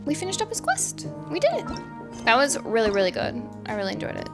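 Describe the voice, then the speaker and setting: A woman's voice: sits high, huge pitch swings, quick pace, over music. A woman streamer giving casual gameplay commentary, playful and exclamatory, drawing out her words. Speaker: game streamer, VTuber.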